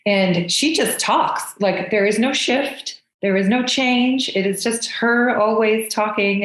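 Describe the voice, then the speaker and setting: A woman's voice: medium pitch, reverberant. A woman from the United States, a guest channeler, chatting in an effusive and enthusiastic way. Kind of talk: casual interview chat.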